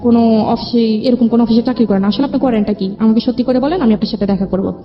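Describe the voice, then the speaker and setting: A woman's voice: a little high, fast talker. A woman with a Bangladeshi accent telling her own life story as an interview guest. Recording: dull lo-fi audio, music underneath. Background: background music.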